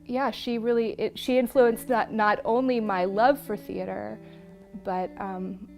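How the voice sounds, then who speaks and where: A woman's voice: a little high, little pitch movement, a little slow, creaky, darkish tone. Woman teacher over prominent guitar music. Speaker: theatre teacher and internship program director. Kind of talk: reflective documentary interview.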